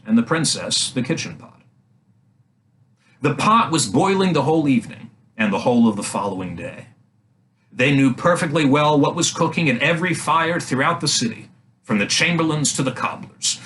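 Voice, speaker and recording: A man reading a fairy tale aloud for young children as a storyteller, in an animated style. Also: mid-range voice, low-quality recording. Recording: faint background noise.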